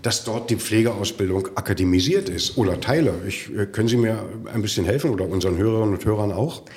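A man hosts an interview podcast with a very low, deep voice. He asks questions and stops to sum up technical terms for his audience. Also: quick pace, a little mellow. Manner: clarifying, summarizing for listeners. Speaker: podcast host.

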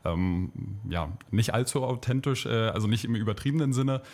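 A man with a somewhat low voice who answers as a radio guest. He hesitates often and fills his pauses with ums as he explains his career and gives advice. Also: lively intonation, a little mellow. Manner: hesitant, filler-heavy. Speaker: company employee, radio guest.

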